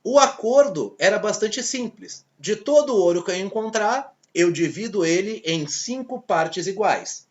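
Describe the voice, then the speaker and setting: A man with a Brazilian accent teaching a history lesson, telling it as a lively story and acting out the voices of people in it. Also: sits high, measured pace, few pauses, highs cut off. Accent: Brazilian Portuguese. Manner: lively storytelling, acts out quoted characters. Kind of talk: video lesson.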